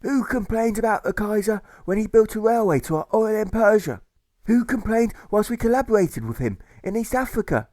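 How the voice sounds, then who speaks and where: A fiery anti-war orator acted out by a male audiobook reader, pitched high and declaiming loudly. He rallies working people with rousing, indignant force, in a dry recording. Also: lively intonation, moderate pace, dry recording. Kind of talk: political rally speech in a rhyming audiobook.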